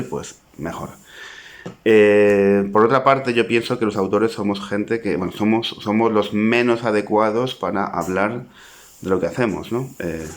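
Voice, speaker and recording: A man in his forties with a Spanish accent, an author talking to camera about his book in a reflective, modest way, thinking aloud as he goes. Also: low voice. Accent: Spanish (Spain). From Spain (Zaragoza). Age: 40s. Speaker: poet and author.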